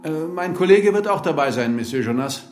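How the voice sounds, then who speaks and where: An actor playing a courteous, businesslike police inspector with a husky voice in a German radio drama. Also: male voice, on the high side, expressive, brisk, soft background music, lightly acted. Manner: polite, questioning, businesslike.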